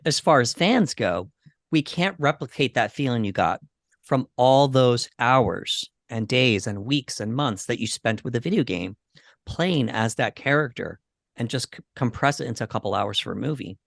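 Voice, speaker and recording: A man with a medium-pitched voice talks as a podcast co-host. His delivery is conversational and somewhat halting as he works through his thoughts. The sound is dry, with very little room reflection. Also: animated, creaky, fairly bright tone, dry recording. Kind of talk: casual discussion of games and movies.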